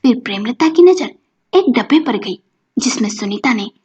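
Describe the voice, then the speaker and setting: Animated high-voiced woman storyteller. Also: moderate pace, husky. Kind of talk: scripted story narration. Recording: dull lo-fi audio with music behind. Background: music.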